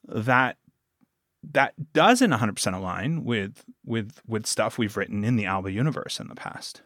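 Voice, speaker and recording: A man's voice: fairly low pitch, fairly bright tone, no room sound, clean studio sound. A man talking casually as a podcast guest, telling stories and quoting himself and others as he goes. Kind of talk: casual interview conversation.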